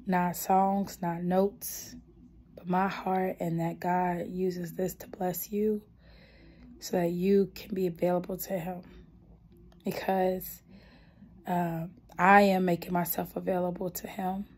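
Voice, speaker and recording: A hoarse young woman speaking softly and earnestly about her faith, half whispering at times, filmed close on a phone in a small dim room. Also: fairly low pitch, flat delivery, takes it slowly. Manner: soft-spoken, earnest, partly whispered. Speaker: gospel singer. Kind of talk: spoken testimony then a cappella gospel singing. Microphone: phone camera held close.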